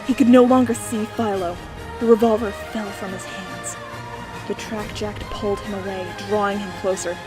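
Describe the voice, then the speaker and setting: A woman narrating a dieselpunk noir audiobook with steady, clear storytelling. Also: mid-range voice, normal speed, over loud music. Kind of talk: scripted narration.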